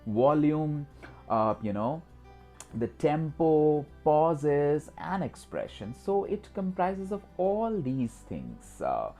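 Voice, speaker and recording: A man's voice: fairly high pitch, very animated, takes it slowly, dark tone, soft background music. A man with an Indian accent, a native Hindi speaker, giving an instructional talk as host, repetitive and emphatic with frequent filler phrases. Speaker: host of a skills channel.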